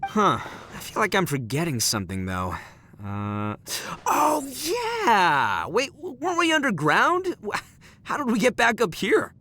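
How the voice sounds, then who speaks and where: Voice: mid-range voice, huge pitch swings, measured pace, pushed and bright, slight background noise, heavily acted. An actor playing a brash, goofy young man in a video game, with a slangy, exclamatory way of talking. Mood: cocky, puzzled. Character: Itto, brash, boisterous, goofy young man, gang leader. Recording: faint music behind the voice.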